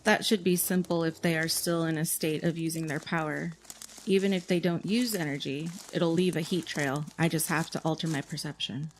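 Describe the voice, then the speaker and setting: A woman's voice: sits low, fairly even intonation, moderate pace, faint music behind, natural voice, low-quality recording. A woman playing a plain-spoken blind tracker in a fantasy audio drama, explaining things matter-of-factly.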